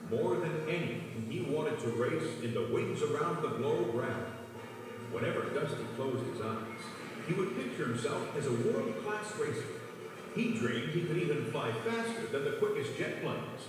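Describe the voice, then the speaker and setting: Lively man narrating a children's storybook. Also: fairly high pitch, moderate pace. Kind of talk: scripted children's read-along narration. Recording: echoey, distant, long reverb, music behind.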